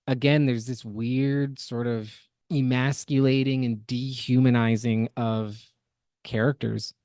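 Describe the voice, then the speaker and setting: A man's voice: medium pitch, flat delivery, unhurried, a little mellow, no room sound, clean studio sound. A man hosting a podcast with casual, critical and opinionated reaction commentary. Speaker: podcast host.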